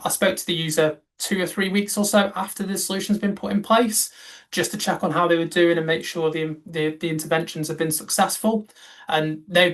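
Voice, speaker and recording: A man giving a reflective, somewhat halting webinar talk over a video call. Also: a little high, fairly bright tone, far from the mic. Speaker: webinar speaker.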